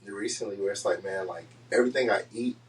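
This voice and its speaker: A man's voice: lowish voice, distant mic, slight background noise. A man in his thirties co-hosting a podcast, talking casually with lots of "like" fillers. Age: 30s. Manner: fast, filler-heavy talk with many "like"s. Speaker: podcast co-host. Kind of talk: casual chat.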